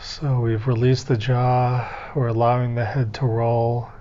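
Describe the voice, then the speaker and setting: A man's voice: mid-range voice, little pitch movement. Man guiding a meditation, slightly muffled recording. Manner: gentle, unhurried step-by-step coaching with pauses. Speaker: guided meditation teacher. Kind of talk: guided meditation instruction.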